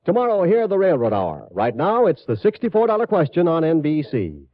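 A man's voice: on the high side, huge pitch swings, brisk. A man reading an upbeat, salesmanlike 1950s radio network promo. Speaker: network announcer. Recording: dry, dull 1950s radio recording.

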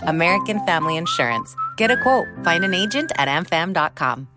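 Lowish-voiced woman announcer over loud music. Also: sing-song, brisk. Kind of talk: scripted commercial read.

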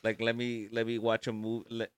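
A man's voice: mid-range voice, monotone, normal speed, clear, resonant tone, dry recording. A man in his late twenties, a comedian guest on a podcast, telling rambling stories and swearing freely. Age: 20s. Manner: rambling storyteller, acts out quotes, swears freely. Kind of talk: casual comedy chat.

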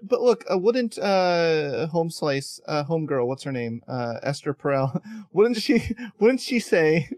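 A man's voice: a little high, very animated, measured pace, a little mellow, dry recording. Playful man host, dry sound. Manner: playful, chatty, laughs often. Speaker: podcast host. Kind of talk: casual interview chat.

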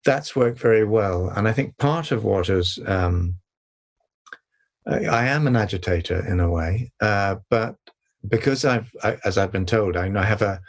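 An older man with a calm voice, answering interview questions in a measured, reflective way with many pauses and restarts. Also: on the low side. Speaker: sustainability consultant and author, guest.

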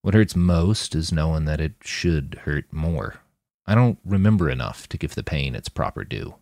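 Guy reading a wry chilled out cyborg soldier in a story, low voice, lively pitch. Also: moderate pace, vocal fry, no room sound, full character voice. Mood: wistful. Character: laid-back, wry cyborg soldier with a broken memory.

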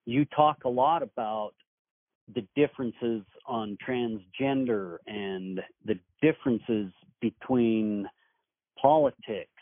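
A man hosting a podcast speaks slowly and deliberately as he sets up his questions. His tone is measured and earnest. The sound is dry and thin, like a phone call. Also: mid-range voice, no echo. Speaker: podcast host. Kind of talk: interview questions.

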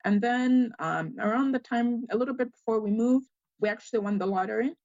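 A young woman from Zambia with a mixed Zambian, Australian and American accent, answering interview questions hesitantly with many pauses. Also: a little high, darkish tone, dry recording. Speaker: guest. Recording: dry, dull lo-fi sound.

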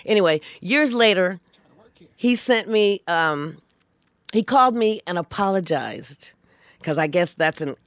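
A woman's voice: fairly low pitch, measured pace, no echo, studio quality. A woman guest telling a story in casual chat, acting out the voices of the people she quotes. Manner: animated, acts out quoted lines.

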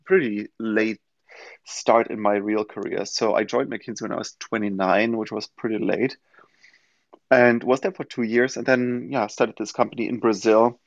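Gravelly German-accented man, dry sound. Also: lowish voice, fairly even intonation, no echo. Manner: thoughtful, many filler pauses. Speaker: guest, company founder. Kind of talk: casual interview answers. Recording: dull lo-fi audio-room stream.

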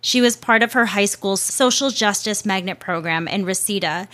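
A woman's voice: medium pitch, moderate pace, a bit bright. A woman hosting a show, reading a scripted narration in a casual way with chatty asides and the odd swear word.

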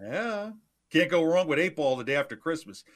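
Playful man with a lively voice. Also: fairly high pitch. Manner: playful, joking, quick banter. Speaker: sports betting podcast co-host. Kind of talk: casual sports betting chat.

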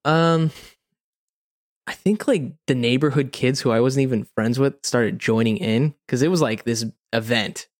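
Man, podcast co-host, casual storyteller, rambling and informal, medium pitch, dry sound. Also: measured pace, no echo. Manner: informal, rambling, heavy use of "like" and "you know".

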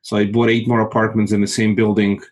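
Man, 40s, from Moldova, native Russian speaker, lowish voice, measured, real estate investor being interviewed. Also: flat delivery. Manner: measured, repeats words when searching. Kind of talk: casual interview conversation.

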